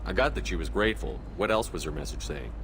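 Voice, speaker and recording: A direct military commander from a sci-fi game, with a lowish voice and quick pace. He questions in a businesslike, inquisitive manner. Clear ambient noise runs behind him. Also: lively intonation. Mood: probing. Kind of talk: scripted video game dialogue.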